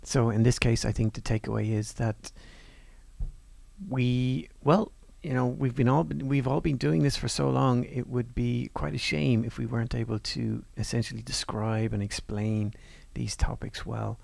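A man giving a university course presentation over slides in a relaxed, conversational way, with fillers and restarts. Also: mid-range voice, normal speed, gravelly, dry recording. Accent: non-Northern Irish.

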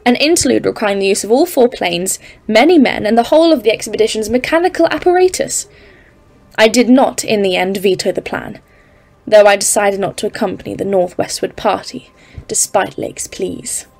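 A woman playing a cautious, stern geologist leading an expedition in an audio drama, speaking in a formal, measured, literary way. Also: medium pitch, gravelly, a little mellow. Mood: grave, reflective. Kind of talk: dramatised narration in an audio play.

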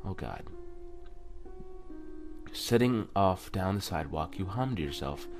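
A man's voice: deep voice, expressive, unhurried, darkish tone. A man hosting a let's play, reading game text aloud with casual reactions and often stumbling and correcting himself. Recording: music under the voice.

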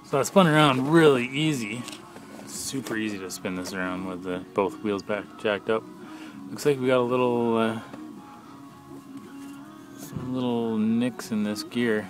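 A man talking casually off the cuff as an amateur mechanic, in a plain-spoken, halting, matter-of-fact way while filming with a handheld camera. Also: mid-range voice, faint music behind. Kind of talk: casual off-the-cuff how-to narration. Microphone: handheld camera, speaker behind it.

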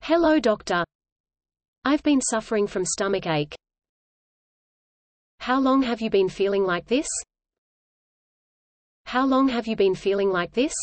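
A woman reading an English lesson dialogue aloud in a measured, even way, repeating each line for learners. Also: fairly high pitch, no room sound.

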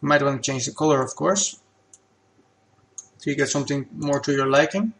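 A man whose first language is Dutch, talking through a software tutorial at his computer in a relaxed, plain step-by-step way. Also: mid-range voice, vocal fry. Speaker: game asset creator.

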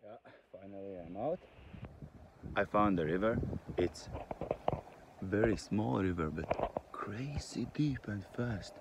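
Slow-talking man vlogging over loud noise. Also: on the low side. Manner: halting, many restarts and small laughs. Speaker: fishing vlogger. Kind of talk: casual self-filmed vlog. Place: driving a car, then outdoors by a river. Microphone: phone camera. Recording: loud car and outdoor noise.

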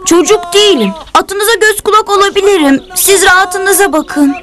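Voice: extremely high pitch, normal speed. A performer playing an eager, polite young boy in a religious cartoon. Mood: earnest, polite. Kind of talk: dubbed religious cartoon acting. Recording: music behind the voice.

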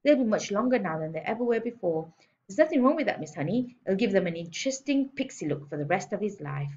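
Storybook bully headmistress, acted by a woman reader: loud, scolding, sneering, domineering; slightly dull recording. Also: mid-range voice, very animated, fast, lightly acted. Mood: smug, gloating. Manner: barking, scolding, sneering insults. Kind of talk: children's storybook read-aloud.

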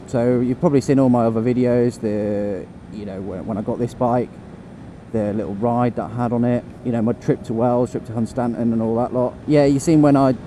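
A man's voice: mid-range voice, dark tone. A man vlogging casually while riding a motorcycle on country roads, rambling and chuckling to himself. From England. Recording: wind and engine noise from riding.

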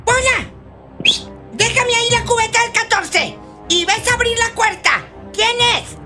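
A woman's voice: very high-pitched, unhurried, sharp tone, full character voice. A woman playing a bossy old ranch grandmother with a Mexican accent, scolding and mocking in a comedic radio soap. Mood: calling out. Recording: music behind the voice.

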